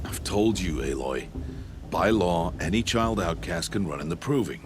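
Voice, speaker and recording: An actor playing a gruff, solemn middle-aged outcast hunter and caring mentor in a video game, speaking gravely and with restraint. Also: male voice, animated, measured pace, noisy background. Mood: firm, patient. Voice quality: deep and gravelly. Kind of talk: dramatic video game cutscene acting. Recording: loud music behind the voice. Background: loud music.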